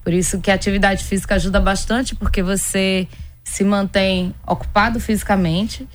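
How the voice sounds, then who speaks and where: Female interviewee, Northeastern Brazilian accent, mid-pitched voice; explanatory, conversational doctor. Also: level tone, moderate pace. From Pernambuco, Brazil. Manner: explanatory, uses everyday examples.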